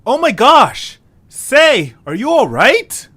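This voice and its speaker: Slow, animated, skeptical young creature played by a man. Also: very high voice, husky, heavily acted. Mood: concerned, puzzled. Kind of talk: amateur audio drama acting.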